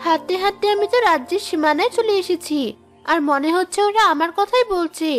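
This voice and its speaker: A humble, anxious young village woman in a cartoon fairy tale. Her voice is very high and almost childlike, earnest and pleading, with music underneath. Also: a little fast. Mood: worried.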